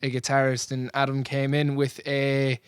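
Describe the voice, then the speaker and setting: A man's voice: medium pitch, completely flat delivery, moderate pace, clean tone, no room sound, clean studio sound. A young man with a Cork Irish accent, a singer chatting casually about his band, rambling and laughing often. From Ireland. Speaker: singer and bandleader. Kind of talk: casual interview chat.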